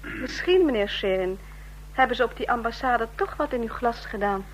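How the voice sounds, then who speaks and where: Lady acting polite evasive secretary, old radio play, highish voice, bit noisy. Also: lively intonation, moderate pace, lightly acted. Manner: polite, deflecting.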